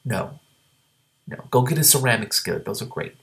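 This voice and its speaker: A man reading a novel aloud and breaking into spontaneous, animated and incredulous commentary. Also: mid-range voice, normal speed, vocal fry. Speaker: reader with commentary, show host.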